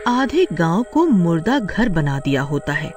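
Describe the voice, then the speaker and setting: A man's voice: a little high, very animated, unhurried, over loud music. A man narrating a horror story in a dramatic storytelling manner.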